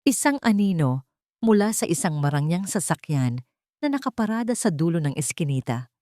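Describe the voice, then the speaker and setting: Low-voiced Filipino woman narrating solemnly. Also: very animated, moderate pace, mellow, dry recording, clean studio sound. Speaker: audiobook narrator. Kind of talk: dramatic first-person scripted story narration.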